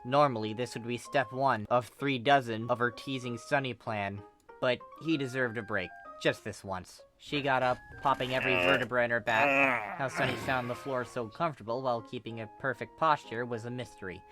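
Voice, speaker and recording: A narrator reading fan fiction aloud in an expressive storytelling style. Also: mid-range voice, moderate pace. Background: background music.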